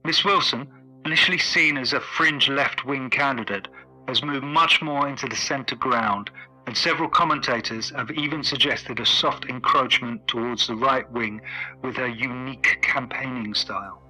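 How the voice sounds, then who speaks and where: A man's voice: mid-range voice, normal speed, pushed and bright, soft background music, rough recording. A man acting as a formal radio news reporter reading a scripted bulletin in a radio play. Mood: brisk, formal.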